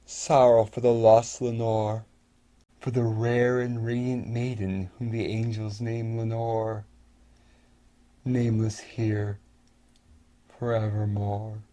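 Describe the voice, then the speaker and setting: A young man giving a theatrical, brooding recitation of gothic horror poetry, moving between hushed and loud delivery. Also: lowish voice, little pitch movement, slow pace, pauses often. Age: young adult. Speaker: performer reciting a poem. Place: furnished basement living room.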